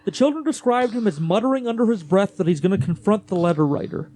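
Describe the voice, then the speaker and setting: A man's voice: high-pitched, expressive, fast, mellow. A man hosting a podcast, telling a true-crime case in a casual, conversational way with fillers and restarts. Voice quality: voice gets gravelly later in the day. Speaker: podcast host narrating a case.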